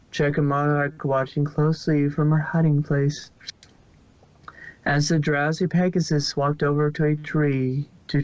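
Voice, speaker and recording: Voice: mid-range voice, no room sound. Plain amateur story reader, muffled. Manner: plain reading with frequent slips and restarts. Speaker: narrator. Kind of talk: amateur fanfic reading. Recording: dull lo-fi home recording.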